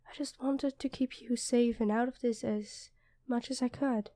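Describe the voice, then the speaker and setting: A woman's voice: fairly high pitch, fairly even intonation, moderate pace, dark tone, dry recording, lightly acted. A woman playing a feisty, protective demon queen who is a devoted girlfriend, commanding yet affectionate, in an ASMR roleplay. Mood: tender, earnest.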